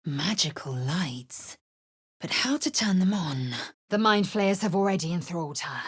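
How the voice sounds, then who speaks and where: An actress playing a fierce, haughty young female alien warrior in a video game, with a raspy voice and clipped, imperious, disdainful delivery. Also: lowish voice, expressive, measured pace. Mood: resolute, curt. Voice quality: raspy, husky. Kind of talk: video game character acting.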